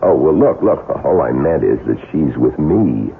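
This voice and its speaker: Very deep, gravelly, uneasy schemer. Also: male voice, sing-song, moderate pace, heavily acted. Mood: cornered, uneasy. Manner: guarded, hesitant protesting. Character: evasive male impostor, uneasy scheming lover under threat. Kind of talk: 1970s radio drama acting. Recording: muffled old radio broadcast, faint noise.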